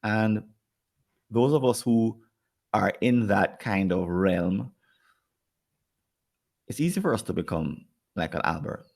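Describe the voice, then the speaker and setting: A man with a Jamaican accent and a very low, gravelly voice, chatting on a podcast. He rambles and doubles back on his words as he works out a point. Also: animated, takes it slowly, pauses often, a little mellow. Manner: rambling, repeats words while thinking, acts out quoted people. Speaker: podcast co-host. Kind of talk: casual podcast conversation.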